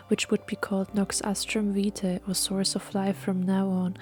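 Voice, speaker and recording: A woman reading scripted fantasy audio play narration in a solemn, measured storytelling manner. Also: medium pitch, a little mellow. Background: music.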